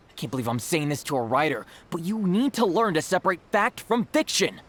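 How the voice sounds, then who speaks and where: A man's voice: fairly high pitch, very animated, brisk, a bit bright. A man playing an exasperated, sceptical editor in a video game, blunt and scolding. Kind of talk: video game character dialogue.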